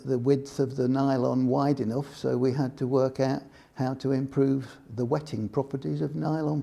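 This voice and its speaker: An older man from Scotland recounting his working life in an oral history interview, measured and explanatory, often restarting his sentences. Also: mid-range voice, creaky, dark tone. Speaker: interviewee, former computing employee.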